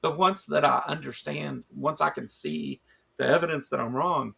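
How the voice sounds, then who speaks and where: A man's voice: a little high, normal speed, vocal fry, pushed and bright, husky. A man co-hosting a casual livestream chat, rambling with lots of restarts and fillers, losing his voice. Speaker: show co-host.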